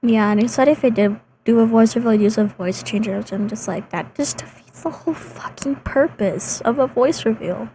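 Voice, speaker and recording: A woman's voice: on the high side, normal speed, few pauses, warm tone, a little hiss or hum. A young woman from Japan whose first language is Japanese, chatting bluntly and swearing freely in a casual conversation. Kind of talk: casual chat.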